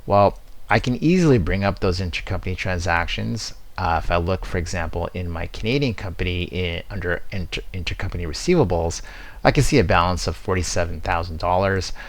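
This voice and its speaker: Deep, creaky-voiced man presenting a demo. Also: a little fast. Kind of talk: product demo walkthrough.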